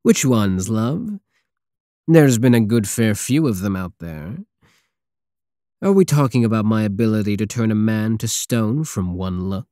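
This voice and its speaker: Pirate king for ASMR roleplay, male actor: big, possessive, sardonic teaser, unhurried delivery, dry close sound. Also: fairly low pitch, expressive, dark tone, no room sound. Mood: cocky, teasing. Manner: slow, teasing, purring endearments, sardonic. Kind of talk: intimate ASMR roleplay acting.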